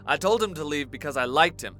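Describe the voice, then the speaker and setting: An actor playing a volatile, frightened murder suspect, defensive, profane and agitated, in an audio drama. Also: male voice, fairly high pitch, expressive, brisk, fairly bright tone, faint music behind. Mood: defensive, pleading. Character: sobbing, volatile, frightened male murder suspect under interrogation.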